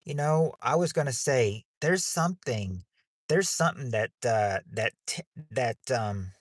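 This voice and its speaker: A man's voice: mid-range voice, expressive, measured pace, no room sound, clean studio sound. A man hosting a livestreamed game night, chatting loosely and often restarting his sentences. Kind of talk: casual chat while hosting a game.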